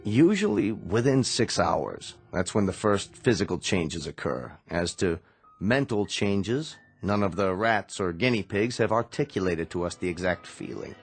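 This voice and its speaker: An actor playing a grave, protective American doctor in a radio drama, measured, somber and terse. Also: male voice, low voice, lively intonation, faint music behind. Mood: clinical, grave. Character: grave, protective doctor, reluctant brother of the patient.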